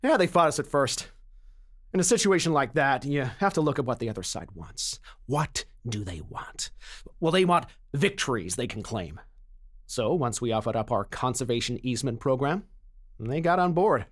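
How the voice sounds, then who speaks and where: Male actor for a persuasive corporate dealmaker: salesman-like, confident, very lively intonation, mid pitch, audio drama. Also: moderate pace, close to an everyday voice. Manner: smooth-talking, salesman-like. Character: slick, confident mining company banker, persuasive dealmaker.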